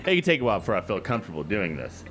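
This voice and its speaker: A man hosting a gaming video, reading a visual novel aloud with casual, expressive commentary. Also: fairly low pitch, very fast. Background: soft background music.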